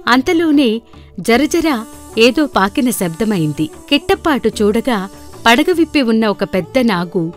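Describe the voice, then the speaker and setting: A woman's voice: fairly high pitch, sing-song, unhurried. A woman narrating a scripted folktale as a storyteller. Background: background music.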